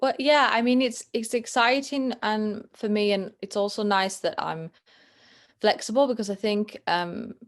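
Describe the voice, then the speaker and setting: A young woman in her twenties with a Yorkshire accent, talking thoughtfully as a guest over a video call, often pausing with ums and restarting. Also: medium pitch. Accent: Northern English, Yorkshire. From England. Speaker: guest, planetary science student. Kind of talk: casual interview chat.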